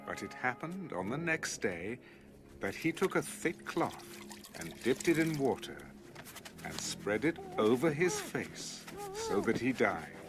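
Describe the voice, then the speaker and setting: A man's voice: medium pitch, big pitch swings, a little slow, music in the background. A man narrating a dramatized scripture audiobook as a scripted storyteller.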